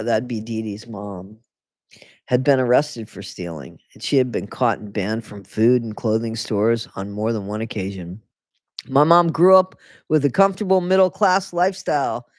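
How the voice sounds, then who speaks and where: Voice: medium pitch, no room sound, studio quality. A livestream host reading a book aloud to viewers and breaking off for sarcastic, chatty comments, often stopping to reread a line. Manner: sarcastic asides between read passages. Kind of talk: casual live reading with commentary.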